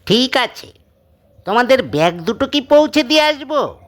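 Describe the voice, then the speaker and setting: A man's voice: very high-pitched, big pitch swings, moderate pace, fairly bright tone, exaggerated voice. A man playing an obliging, rustic village van driver in an audio drama. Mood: helpful. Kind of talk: Bengali audio story acting.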